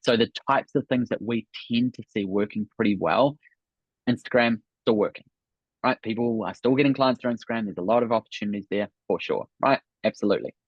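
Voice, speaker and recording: A New Zealand man in his thirties talks through a business coaching webinar in an upbeat way, often checking in with "right?". His voice is low and a little husky. The sound is dry and slightly dull. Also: brisk, no room sound. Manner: upbeat, repeats "right?" and "really, really".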